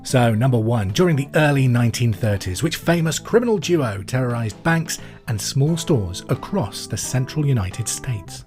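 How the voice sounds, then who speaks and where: A man's voice: mid-range voice, lively intonation, moderate pace, few pauses, a little mellow, faint music behind. A man with a British accent hosting a pub quiz podcast in an informal, chatty way with jokey asides.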